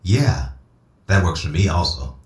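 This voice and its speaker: A man playing a calm, encouraging male advisor in an audio drama. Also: low-pitched, measured pace. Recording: echoey room.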